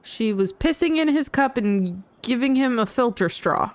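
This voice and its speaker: A woman's voice: a little high, expressive, moderate pace, soft background music. A woman streamer giving live gameplay commentary, playful and irreverent, blurting out her reactions. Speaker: VTuber game streamer. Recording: faint noise in livestream audio.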